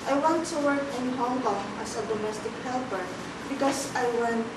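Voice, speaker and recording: A woman's voice: a little high, normal speed. A woman in her twenties answering as a job applicant in a formal, rehearsed, polite manner. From Philippines. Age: 20s. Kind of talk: mock job interview. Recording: echoey room, distant mic, background noise.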